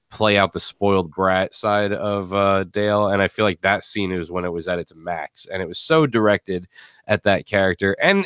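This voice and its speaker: A man with a low voice chats in a casual, slangy way on a panel. The sound is dry and close, with very little room to it. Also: fairly bright tone, clean tone, dry recording. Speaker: podcast host and audio drama actor.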